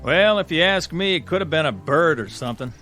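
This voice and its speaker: A man's voice: fairly high pitch, expressive, brisk, pushed and bright, faint music behind. A man playing a sarcastic, cocky, teasing National Guard tank corporal in a radio drama. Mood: flippant.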